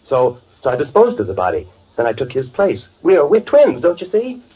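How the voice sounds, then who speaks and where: Guilt-ridden impostor twin, vintage radio melodrama. Frantic, halting, very animated, through narrow lo-fi sound. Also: male voice, mid-range voice. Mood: panicked pleading. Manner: stammering, pleading, melodramatic. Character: frantic, guilt-ridden man posing as his dead twin. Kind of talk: old-time radio drama acting. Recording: tinny, noisy old radio recording.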